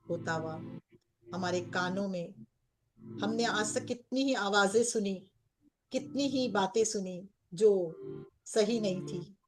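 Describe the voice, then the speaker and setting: A woman with an Indian accent leading a guided meditation as a coach, speaking in gentle, repetitive phrases with pauses. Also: on the low side. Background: background music.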